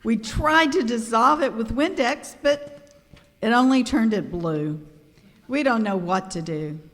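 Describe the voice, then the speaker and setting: Wide-eyed ship's captain female amateur, comic radio skit, swinging intonation, unhurried pace, hall reverb. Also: on the high side, lively intonation. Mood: worried. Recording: reverberant hall, live recording.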